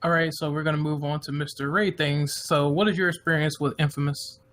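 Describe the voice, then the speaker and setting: A man hosts a casual group podcast with an upbeat manner, calling on each guest in turn. His voice sits a little high and sounds bright. There is a faint hum of ambience behind him. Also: level tone, fairly quick. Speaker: podcast host.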